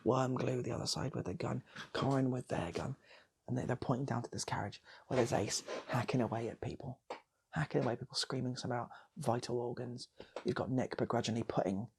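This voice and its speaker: A man's voice: medium pitch, steady tone, brisk, gravelly, background noise. A man improvising a comic story as a host, rambling, repeating phrases and slipping into acting out characters. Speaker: storytelling host of an online channel.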